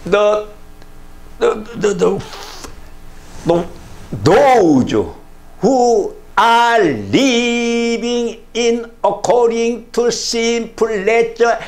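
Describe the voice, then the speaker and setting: An older male pastor with a Korean first language delivers a sermon in a plain studio, speaking very slowly with a gravelly, fairly high voice. He leans hard on each phrase and drops in hallelujahs and amens as he goes. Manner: slow, emphatic preaching with frequent hallelujahs and broken English. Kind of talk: sermon to camera. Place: plain studio room, indoors.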